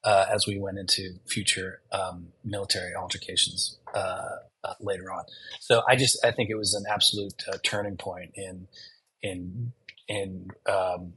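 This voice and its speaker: A man in his fifties, a documentary director, answering interview questions haltingly with many restarts and fillers. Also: deep voice, flat delivery, sharp tone, breathy edge, rough recording.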